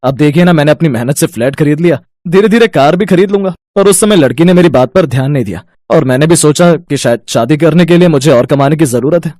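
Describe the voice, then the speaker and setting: Polite young male neighbour man acting, lighter pitch, earnest family storybook dialogue, dry sound. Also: expressive, fast talker, few pauses, a little mellow, no echo, natural voice. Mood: earnest, wistful.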